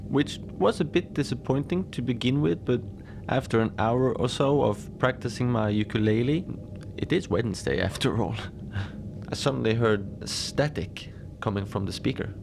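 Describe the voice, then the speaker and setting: A man's voice: mid-range voice, normal speed, creaky, noisy background, close to an everyday voice. A man playing an unflappable lone facility manager at an Antarctic station, rambling casually and wryly through a cozy horror audio diary. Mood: animated, intrigued. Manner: casual rambling, wry understatement, small chuckles. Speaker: host and narrator of a fiction podcast.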